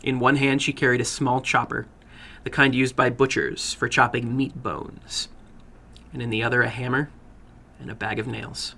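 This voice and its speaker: A man narrating a short horror story dramatically, with a theatrical, deliberate delivery. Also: medium pitch, little pitch movement, gravelly, sharp tone, slight background noise. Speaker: storyteller, horror fiction broadcast host.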